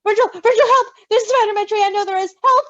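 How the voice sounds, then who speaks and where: A woman's voice: very high voice, racing, fairly bright tone, dry recording, dull audio, voice pushed up. A woman voicing a frantic, pleading male tree creature who is terrified and calling for help, in a storybook reading. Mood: panicked.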